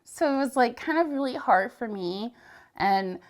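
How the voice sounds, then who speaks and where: A woman's voice: a little high, animated, measured pace. A woman in her thirties, a native Spanish speaker, hosting a casual interview and gushing with praise, with frequent "like" fillers. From Latin America, Spanish-speaking. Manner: effusive, many "like" fillers. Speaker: host.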